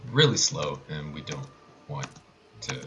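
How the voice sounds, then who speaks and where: A man's voice: very deep voice, big pitch swings, a little slow, bright, forceful tone, muffled recording, a little hiss or hum. A man giving casual live commentary on his own video game speedrun, with playful, joking asides.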